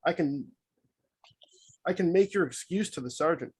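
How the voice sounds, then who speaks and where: A man's voice: on the high side, moderate pace, a little mellow, slightly rough. A man playing a cocky, teasing cop in a drama table read. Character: cocky, teasing male cop ribbing a rookie.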